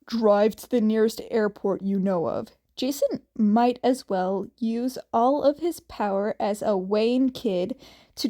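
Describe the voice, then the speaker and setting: High-voiced woman reading a story, unhurried. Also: mellow. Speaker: amateur fan fiction reader. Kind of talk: scripted story narration, podfic.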